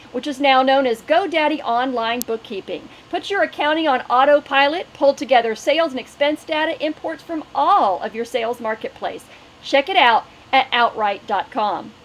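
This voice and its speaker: A woman's voice: high-pitched, a little hiss or hum, poor audio. A middle-aged woman hosting an online webinar and making announcements. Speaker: webinar host.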